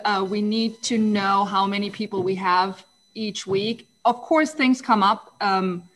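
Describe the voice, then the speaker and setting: A woman's voice: mid-range voice, a bit bright, low-quality recording. A woman volunteer on a panel, answering directly and then explaining with fillers. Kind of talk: community forum answer.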